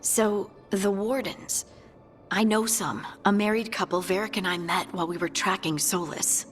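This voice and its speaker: An actress playing a friendly, earnest young scout in a video game, speaking informally and a little hesitantly. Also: female voice, medium pitch, steady tone, moderate pace, lightly acted. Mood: hopeful. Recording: long reverb, faint music. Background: faint music.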